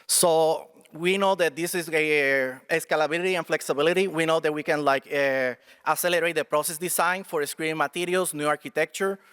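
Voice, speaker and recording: A man's voice: a little high, fairly even intonation, brisk, few pauses, fairly bright tone, clean tone, big-room sound. A student presenter, a man, explaining technical results with many fillers and restarts. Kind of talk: technical pitch and answering questions.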